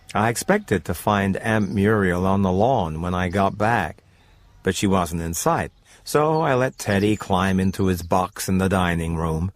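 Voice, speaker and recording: Low-voiced man narrating over music. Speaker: narrator and presenter of radio stories. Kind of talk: scripted dramatic story narration.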